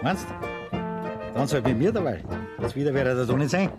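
An actor playing a scheming, jealous village suitor with a Bavarian accent, sly and insinuating. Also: male voice, mid-range voice, animated, moderate pace, lightly acted. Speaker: actor in a radio play. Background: folk music.

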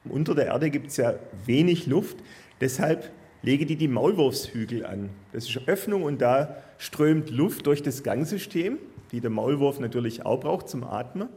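A man's voice: medium pitch, expressive, moderate pace, warm tone. A man with a mid-range voice gives a plain explanation as a guest expert. He is matter-of-fact and easy for children to follow. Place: live stage at a podcast festival.

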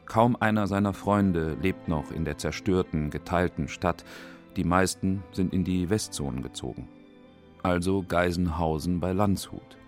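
A man with a very low voice reads scripted documentary narration for radio. His phrasing is short and factual, with faint music behind him. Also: unhurried.

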